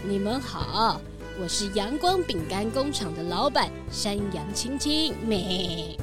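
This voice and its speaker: A woman's voice: mid-range voice, very animated, takes it slowly. A woman voicing a friendly female goat who owns a cookie factory and bleats now and then, in a children's radio drama. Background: background music.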